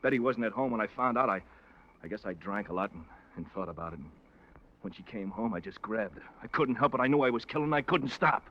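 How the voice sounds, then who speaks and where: An American actor playing a distraught confessed killer turned informer, halting and anguished in 1950s radio drama style. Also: male voice, fairly low pitch, lively intonation. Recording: muffled vintage radio recording.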